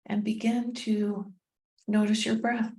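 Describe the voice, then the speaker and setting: Woman, meditation guide, slow delivery, warm relaxed tone, gentle and soothing, short phrases with long pauses. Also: mid-range voice. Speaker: meditation guide and tapping coach.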